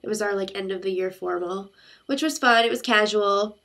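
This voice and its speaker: A woman's voice: mid-range voice, normal speed, a bit bright. A young woman with a congested sick voice, chatting in a rambling, talkative way to her viewers. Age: young adult. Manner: chatty, rambling storytelling with many fillers. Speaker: vlogger. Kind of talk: casual chat to camera.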